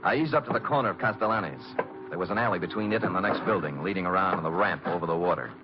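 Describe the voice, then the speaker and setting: An actor playing a wry, hard-boiled private detective, with sardonic, clipped delivery in 1940s radio noir style. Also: male voice, deep voice, racing, music in the background, natural voice. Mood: tense narration. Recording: dull old radio broadcast recording.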